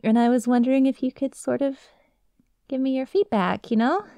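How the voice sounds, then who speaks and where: A woman's voice: high voice, steady tone, moderate pace, dark tone, no room sound. A woman playing a nervous, clingy young best friend with a secret obsession, rambling hesitantly and casually in an ASMR roleplay. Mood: nervous, bashful.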